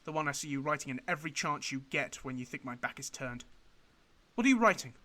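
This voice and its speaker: A man's voice: fairly high pitch, lively intonation, quick pace, pushed and bright. A man playing a stern, angry military officer in a radio drama, scolding in a clipped, authoritarian way. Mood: angry, demanding. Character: stern, angry male military superior berating a subordinate.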